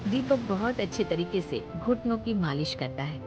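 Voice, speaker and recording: A woman's voice: medium pitch, expressive, moderate pace, over music. A woman narrating a scripted moral story as its storyteller. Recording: dull lo-fi sound.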